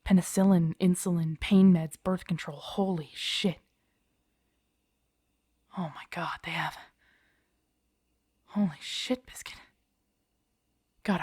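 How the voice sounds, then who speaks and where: Casting: actress for protective zombie-apocalypse survivor, young woman, husky and dark-toned, hushed, halting, urgent, for intimate audio drama. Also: fairly low pitch, no echo, studio quality. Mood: excited, then hushed awe. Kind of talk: intimate audio roleplay acting.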